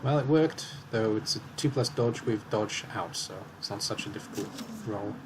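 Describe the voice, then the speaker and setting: Man calmly commentating his own game. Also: fairly low pitch, normal speed, background noise. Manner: calm, analytical, talks through his moves. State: slightly hoarse.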